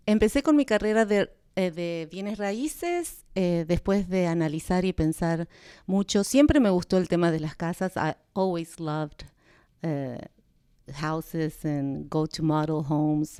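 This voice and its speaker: A middle-aged woman from Argentina with an Argentine Spanish accent, a native Spanish speaker, answering interview questions in a soft-spoken, hesitant way. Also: fairly low pitch, smooth, dry recording, clean studio sound. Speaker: guest real estate agent. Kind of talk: interview answers switching between Spanish and English.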